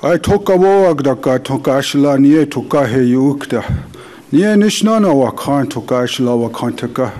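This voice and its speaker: A man whose first language is Dakota, leading a slow, measured, solemn prayer as an elder in a conference hall. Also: medium pitch, expressive, a little mellow. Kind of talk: spoken prayer.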